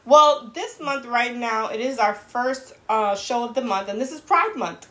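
A woman's voice: on the high side, a little slow, fairly bright tone. A woman co-hosting a podcast in casual chat, sassy and teasing. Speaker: podcast co-host.

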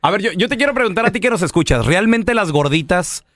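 A man hosting a joking radio chat, animated, teasing and full of slang. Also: high-pitched, quick pace, no room sound, studio quality. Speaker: radio show host.

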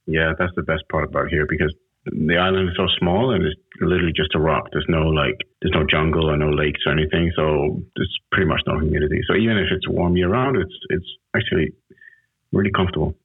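Low husky man on a tinny line. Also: steady tone, quick pace. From Denmark. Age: 30s. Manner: rambling, many restarts and fillers. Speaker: guest. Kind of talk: casual interview chat. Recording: tinny phone-like audio.

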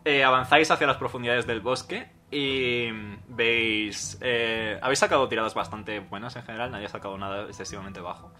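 A man narrating as game master of a tabletop game, calm and explanatory with an occasional joke. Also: mid-range voice, fairly quick, hardly any pauses, faint music behind.

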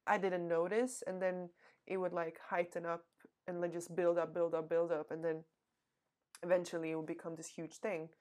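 Soft-spoken young woman, lowish pitch. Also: little pitch movement, normal speed. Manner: reflective, hesitant, trailing off softly. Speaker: podcast co-host. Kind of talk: casual chat.

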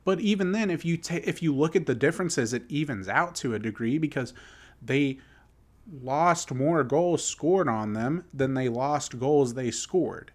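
A man in his twenties co-hosting a sports podcast, his pitch swinging widely as he works himself up. He rants in exasperated bursts, full of fillers and restarts, half joking and half sincere. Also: expressive, unhurried, darkish tone. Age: 20s. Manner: heated, exasperated ranting with lots of "like" fillers. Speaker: podcast co-host. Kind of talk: casual sports chat.

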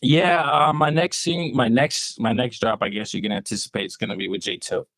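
A young man from Arizona, a rapper guest on a podcast, answering in a laid-back, halting way. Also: medium pitch, fairly even intonation, a bit bright, dry recording. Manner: laid-back, hesitant, lots of restarts. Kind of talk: casual interview answers.

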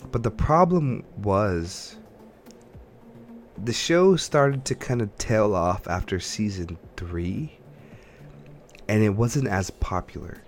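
Male podcast co-host, lowish register, loose rambling conversational delivery, slight background noise. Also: lively intonation, slow, pauses often. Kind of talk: casual chat about games and films.

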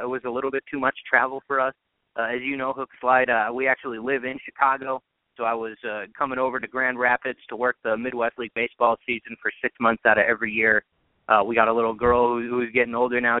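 A man's voice: medium pitch, no echo. A man, a radio sports announcer, giving conversational interview answers as a guest over a narrow phone line.

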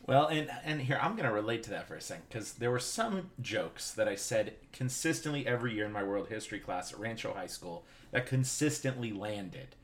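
A man's voice: fairly low pitch, animated, brisk, few pauses. A man interviewing a fellow teacher, chatty and upbeat. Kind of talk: interview conversation.

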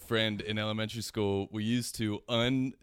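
A man's voice: lowish voice, flat delivery, normal speed, a bit bright, clear, resonant tone, dry recording, studio quality. A man hosting a casual comedy chat, informal and jokey, tossing in wry asides. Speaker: podcast host.

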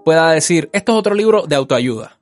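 A man's voice: fairly high pitch, lively intonation, quick pace. A man hosting a podcast, asking friendly questions and chiming in with short agreements. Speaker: podcast host. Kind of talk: casual interview questions.

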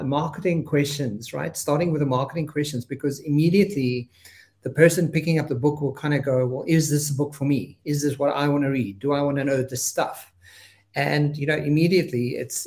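A male interview host with a fairly high voice. He rambles enthusiastically, filling his talk with "you know" and restarts. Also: darkish tone. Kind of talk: conversational interview.

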